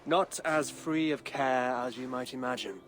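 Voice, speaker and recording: A man playing a thoughtful, pious English king in a video game, with a formal, regal and deliberate manner. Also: mid-range voice, fairly bright tone, natural voice. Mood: reflective. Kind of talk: dramatic video game acting. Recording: music under the voice.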